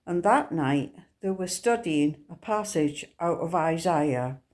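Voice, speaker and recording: Slow-spoken Scottish woman sharing testimony. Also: low-pitched, animated, darkish tone. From Scotland. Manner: earnest, unhurried storytelling. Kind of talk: personal faith testimony.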